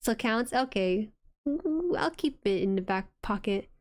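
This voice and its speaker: A woman with a soft voice chatting casually and reacting excitably as she hosts an anime watchalong stream. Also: on the high side, measured pace.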